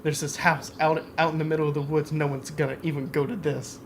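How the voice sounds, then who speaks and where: A man chatting casually about video games on a podcast, with clear background noise. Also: on the high side, level tone, fast. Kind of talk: casual gaming podcast chat.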